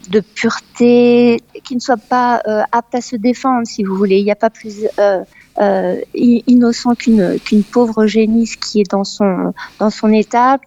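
A woman's voice: medium pitch, dark tone, dry recording. A woman from Paris, speaking as a novelist guest in an interview. She talks animatedly and exclaims a lot, breaking off often with hesitations. The sound is slightly dull and very dry. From Paris, France. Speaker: novelist guest, former dental expert. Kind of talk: radio interview, conversational.

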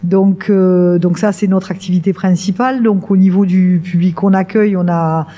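A woman's voice: fairly low pitch, steady tone, moderate pace, dark tone, dull audio. A middle-aged woman, director of a work-integration garden, explaining its work in a radio interview with frequent hesitations and restarts. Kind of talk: radio interview guest explaining her organisation.

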